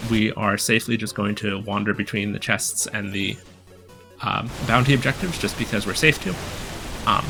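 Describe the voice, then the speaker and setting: A man with a low voice talks through a game build, slightly hoarse from a cold. His voice carries a gravelly fry and many relaxed ums. Loud game music plays underneath. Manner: relaxed, explanatory, frequent ums. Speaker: gameplay guide commentator. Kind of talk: casual gameplay commentary.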